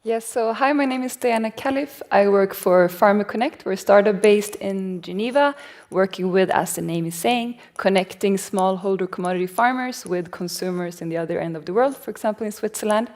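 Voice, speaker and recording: A woman from Sweden with a medium-pitched voice. She gives a conversational introduction as a startup panelist. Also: brisk, a little mellow.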